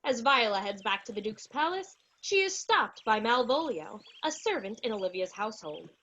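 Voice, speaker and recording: A woman's voice: on the high side, sing-song, normal speed, pushed and bright, highs cut off. A young woman narrating a radio play from a script, introducing scenes and characters. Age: young adult.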